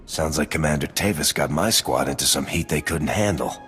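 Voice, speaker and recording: A grim soldier in a video game. The voice is extremely deep, with faint music behind it. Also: male voice, a little fast, a bit bright. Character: grim male soldier with a squad. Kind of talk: video game cutscene acting.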